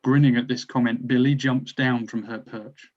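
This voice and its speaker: A man's voice: mid-range voice, fairly even intonation, moderate pace, a little mellow, dull audio. A man narrating stage directions from a script at a drama table read.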